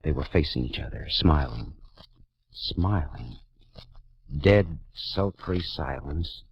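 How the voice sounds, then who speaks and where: Hardboiled noir detective, low voice, wry and terse, muffled old radio sound with music. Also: male voice, expressive, takes it slowly, slightly rough, full character voice. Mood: tense narrating. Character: hardboiled male private eye, wry, rattled, narrating his case. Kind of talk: 1940s radio noir acting.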